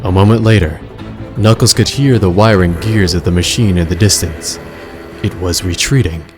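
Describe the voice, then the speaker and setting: A man narrating a scripted story in a measured, suspenseful, dramatic style. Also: sits low. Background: loud music.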